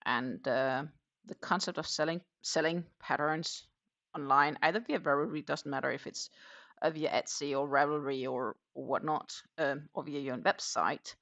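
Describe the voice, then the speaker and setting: A woman with a Danish accent talks in a relaxed, rambling way, thinking out loud as she goes. Her voice is bright and has a gravelly edge. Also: sits low, normal speed, muffled recording. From Denmark. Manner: chatty, musing aloud with fillers and restarts. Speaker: crochet livestream host. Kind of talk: casual chat while crocheting.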